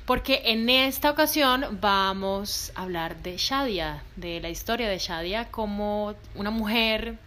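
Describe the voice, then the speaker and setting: A woman's voice: medium pitch, unhurried, hardly any pauses, sharp tone. A woman from Colombia's Caribbean coast with its accent, hosting a podcast, welcoming her guests and asking guiding questions. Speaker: podcast host.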